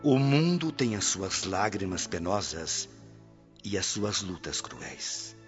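A man's voice: low-pitched, expressive, slow pace. A man with a Brazilian accent playing a benevolent spirit mentor in a radio drama, addressing souls in solemn, formal exhortation. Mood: grave exhortation. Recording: dull radio drama, faint music behind.

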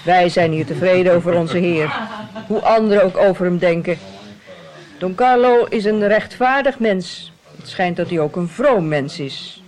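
A man's voice: high voice, unhurried, a little mellow. A man playing a gossipy, pious country innkeeper in a radio play, with a folksy, insinuating way of talking. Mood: confiding. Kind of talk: radio drama acting. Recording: radio play with clear background noise.

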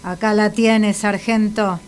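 An actress with an Argentine accent playing a stern, sarcastic, insistent hospital doctor in a comic radio drama. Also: female voice, medium pitch, little pitch movement, slow, a little hiss or hum, close to an everyday voice. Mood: stern, impatient. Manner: sardonic, insistent.

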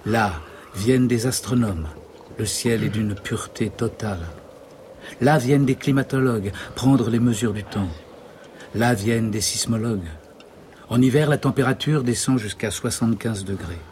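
Expedition narrator male actor, radio drama: sober, measured, declarative, mid-range voice, noticeable ambient noise. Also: mid-range voice, a little mellow, natural voice. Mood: sober, factual. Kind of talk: scripted documentary-like narration. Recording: clear ambient noise behind voice.

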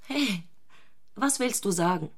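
A woman's voice: medium pitch, animated, slow, slightly rough. An actress playing an intense, provocative young woman in an intimate, fervent literary radio drama. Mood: demanding.

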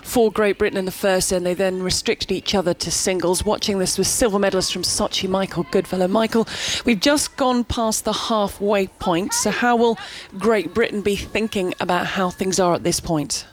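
An upbeat woman reports live from a curling match, giving scores and standings in a quick, mid-pitched voice. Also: a little hiss or hum.

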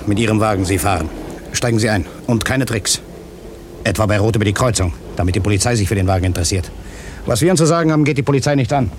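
An actor playing a cold-blooded hired killer in a 1960s radio play, curt, matter-of-fact and mocking. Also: male voice, on the low side, quick pace, slight background noise, close to an everyday voice. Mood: cold, commanding.

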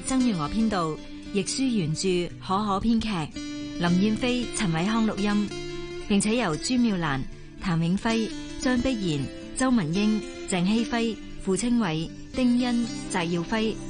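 A woman's voice: mid-range voice, normal speed. A woman announcer with a Hong Kong Cantonese accent, reading scripted radio drama credits. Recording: plucked guitar music behind the voice.

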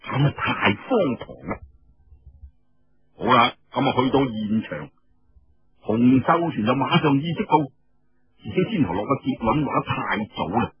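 A man with a Cantonese accent telling a story in a theatrical, colloquial and expressive way. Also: medium pitch, moderate pace, pauses often. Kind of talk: dramatic Cantonese storytelling narration. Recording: dull lo-fi radio recording.

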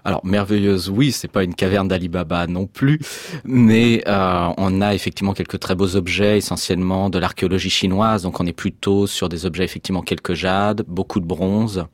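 A man, a museum curator, speaks as a guest on a radio show. His voice is very deep, and he explains in a calm, measured, orderly way. The studio sound is dry and close. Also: dry recording. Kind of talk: radio interview.

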